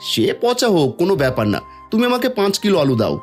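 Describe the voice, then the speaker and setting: A man's voice: sits high, big pitch swings, rapid-fire, darkish tone, faint music behind. A man voicing a greedy, sly village food-stall owner in a cartoon moral story. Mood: bargaining.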